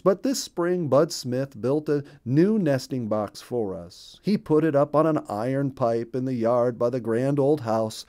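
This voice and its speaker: A man's voice: medium pitch, mellow, close to an everyday voice. A man voicing a friendly, cheerful male bluebird in a children's storybook, chatty and singsong, repeating words for emphasis.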